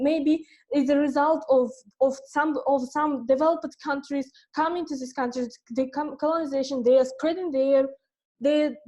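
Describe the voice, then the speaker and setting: A woman giving a competitive debate speech in a halting way, often repeating words. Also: sits high, warm tone. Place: online debate call.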